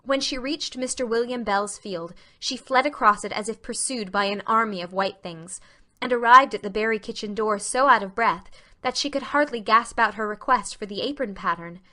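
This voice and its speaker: Bright, high-voiced woman storyteller. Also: moderate pace. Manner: expressive, literary storytelling. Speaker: narrator. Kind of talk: dramatic scripted narration of a novel.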